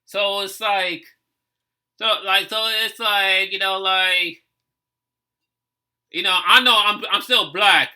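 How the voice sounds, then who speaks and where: A man's voice: sits high, fairly even intonation, slow, long pauses, sharp tone. A man in his thirties from the United States giving outspoken, repetitive, sweary commentary in a casual reaction video. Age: 30s.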